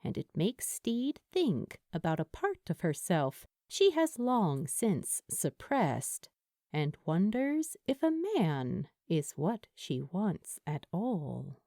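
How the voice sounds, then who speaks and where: A woman reading scripted fiction as an audiobook narrator, with an expressive, measured storytelling manner and deliberate pauses. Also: fairly low pitch, dry recording, studio quality.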